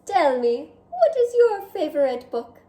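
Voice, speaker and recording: Storybook figure: woman reading a self-admiring French snail, theatrical, high and mellow, roomy sound. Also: big pitch swings, unhurried. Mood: grandly chatty. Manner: theatrical, self-admiring, addresses listener directly. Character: vain, dramatic male French snail. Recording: roomy echo.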